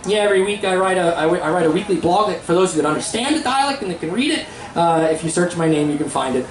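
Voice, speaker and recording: Man, high voice, live festival talk, joking, echoey tent. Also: expressive, quick pace. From Pennsylvania, USA. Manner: joking, chatty, promotional. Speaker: host and teacher. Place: seminar tent at an outdoor festival.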